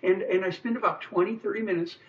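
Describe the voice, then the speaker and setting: Male interview guest, 60, American, enthusiastic and chatty, high-pitched, recorded from a distance. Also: steady tone, quick pace. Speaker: interview guest, mobile chiropractor. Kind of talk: casual radio interview. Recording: distant, muffled radio capture.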